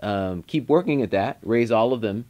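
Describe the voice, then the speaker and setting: A man's voice: on the low side, animated, moderate pace, a little mellow. An American man in his thirties, a guest musician talking casually in an interview. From United States. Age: 30s. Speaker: guest guitarist. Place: indoor music studio with stage setup.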